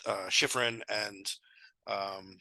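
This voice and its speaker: A man in his thirties talks through math proofs in a relaxed, thinking-aloud way, his voice hoarse and gravelly from illness. His tone is sharp and bright. The sound is dry and close, from a headset mic in a small room. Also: fairly low pitch, very slow, dry recording. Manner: casual, thinking aloud with fillers. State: sick, losing his voice. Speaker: math tutor. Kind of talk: casual lecture walkthrough.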